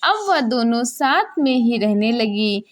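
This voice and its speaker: A woman narrating a scripted children's story in an expressive, dramatic storytelling manner. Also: fairly high pitch, slow.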